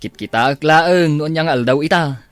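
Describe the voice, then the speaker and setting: A man's voice: fairly high pitch, normal speed, dry recording, lightly acted. A man acting a henpecked, whining village husband in an Ilocano comedy drama, with an Ilocano accent. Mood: pleading.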